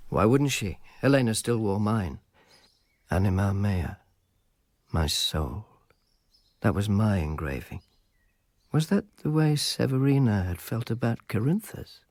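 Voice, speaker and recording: A man's voice: lowish voice, very animated, measured pace, pauses often, darkish tone, no echo, heavily acted. An actor playing a weary, sardonic male informer in ancient Rome, narrating with dry understatement in a radio drama.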